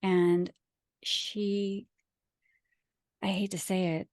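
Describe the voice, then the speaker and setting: A woman's voice: mid-range voice, little pitch movement, very slow, darkish tone, dry recording, clean studio sound. A woman from Pakistan who speaks English as a second language, talking as an author and guest in a reflective interview, with an earnest, emphatic, philosophical manner. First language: not English; English is her second language.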